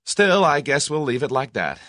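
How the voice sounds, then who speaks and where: A direct, warm-hearted young American man from an audiobook novel, as a man performs him. He is forceful and slangy, with lively, jumping pitch. The recording is close and dry. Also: normal speed, no echo. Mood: persistent. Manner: forceful, plain-spoken, slangy. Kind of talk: audiobook character voice.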